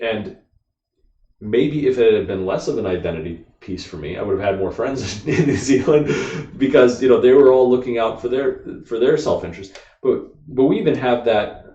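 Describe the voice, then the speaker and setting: A man's voice: medium pitch, expressive, normal speed, dark tone, far from the mic. An American man, a podcast co-host, explaining ideas at length in casual discussion, full of "like" and "you know.". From United States. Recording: dull, echoey room, off-mic.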